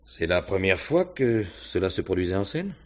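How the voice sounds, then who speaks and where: A police inspector from an old-fashioned radio mystery. His voice is very low and rough-edged. He questions courteously and deliberately, musing aloud with small hesitations as he probes. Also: sing-song. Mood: probing. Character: courteous, probing male police inspector on a murder case. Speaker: radio drama actor. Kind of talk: French mystery radio drama acting, 1950s manner.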